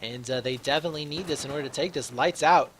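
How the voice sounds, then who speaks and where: A young man doing solo play-by-play commentary on an online shooter match, his voice slightly raspy from a long day, filling pauses with "uh" and getting excited on big plays. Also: fairly high pitch, noisy background. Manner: chatty, fills with "uh", grows excited on big plays. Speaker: shoutcaster.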